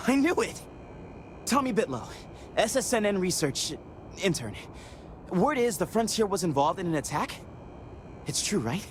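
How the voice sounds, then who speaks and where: An actor playing an eager, awkward young news intern in a video game, stammering and excitable. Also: male voice, sits high, moderate pace, pauses often, slight background noise. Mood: excited, awkward.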